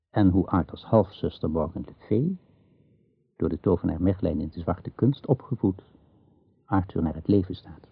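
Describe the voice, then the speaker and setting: Low, husky male storyteller. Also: dry recording. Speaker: narrator. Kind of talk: scripted radio drama storytelling. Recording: narrow, phone-like old radio recording.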